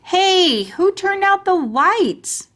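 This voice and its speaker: A woman voicing an excitable, sassy little kindergarten girl from a storybook, with childish, emphatic kid talk. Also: extremely high pitch, very slow, voice pushed up, full character voice. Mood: delighted. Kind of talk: children's storybook character reading.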